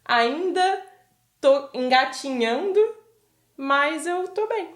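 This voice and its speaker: Reflective Carioca woman, slightly high voice. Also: expressive, slow pace, a bit bright. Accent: Carioca Brazilian Portuguese. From Brazil. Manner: reflective, earnest. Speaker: podcast guest. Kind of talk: casual chat. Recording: roomy echo.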